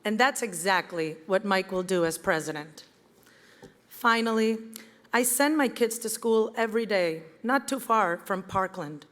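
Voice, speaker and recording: A woman, giving a prepared campaign speech in an earnest, measured way. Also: mid-range voice, a bit bright. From Venezuela. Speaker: campaign event speaker. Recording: reverberant hall with crowd.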